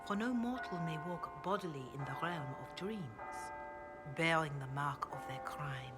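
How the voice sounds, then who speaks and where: An actress voicing a devout, grave priestess in a video game, speaking in a measured, reverent way. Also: female voice, sits low, animated, over loud music. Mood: reflective. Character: devout, grave chantry priestess, calm and counselling. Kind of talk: video game dialogue, scripture recitation.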